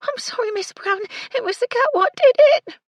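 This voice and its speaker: A woman's voice: very high-pitched, lively intonation, normal speed, no room sound, pitched above the performer's own voice. Woman doing a snivelling kitchen maid. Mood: whining. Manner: whiny, tearful. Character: snivelling young kitchen maid girl, clumsy.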